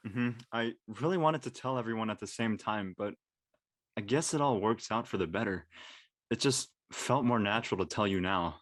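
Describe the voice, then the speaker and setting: A man playing an earnest, nervous high school senior with a casual, slangy, hesitant delivery in an audio play. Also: normal speed, a bit bright, no echo, studio quality, close to an everyday voice. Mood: relieved, warm. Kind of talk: naturalistic dialogue in a live-streamed audio play.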